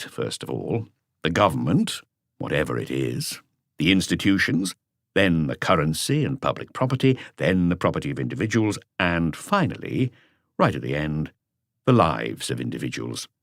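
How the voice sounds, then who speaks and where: A man voicing a world-weary veteran police inspector in an audiobook, terse, measured and reflective. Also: low voice, no room sound, studio quality. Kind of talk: audiobook character dialogue.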